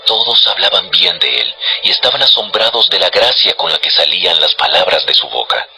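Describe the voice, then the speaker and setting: A man with a deep, low voice reads scripted narration for a dramatized audio Bible. Music plays clearly underneath his storytelling. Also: lively intonation, fairly quick, bright, forceful tone.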